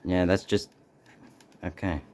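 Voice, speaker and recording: A man hosting a casual, unscripted unboxing video, speaking haltingly and muttering to himself as he goes. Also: deep voice, fairly even intonation, darkish tone, a little hiss or hum. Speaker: video host doing an unboxing.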